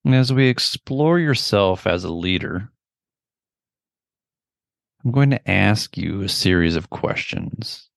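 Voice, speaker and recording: A middle-aged man leading a guided meditation in a gentle, deliberate manner with long pauses. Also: fairly low pitch, animated, vocal fry, no echo, studio quality.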